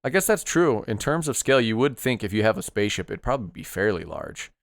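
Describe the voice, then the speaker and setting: A man with a low, smooth, resonant voice hosts a casual podcast chat, upbeat and agreeable as he asks questions. The sound is close and dry. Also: big pitch swings, quick pace, no echo. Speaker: podcast host. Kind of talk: casual chat about retro games.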